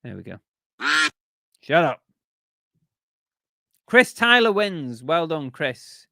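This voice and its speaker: A man's voice: fairly high pitch, big pitch swings, slow pace, creaky, pushed and bright, no room sound, studio quality. A man hosting a casual live stream about reselling, rambling with fillers and restarts while his voice is giving out. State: losing his voice.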